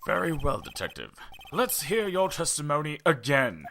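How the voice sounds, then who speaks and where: A man's voice: a little high, wildly swooping pitch, moderate pace, vocal fry, bright, forceful tone, slight background noise, exaggerated voice. A man playing a fussy old judge. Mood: stern. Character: fussy older male judge.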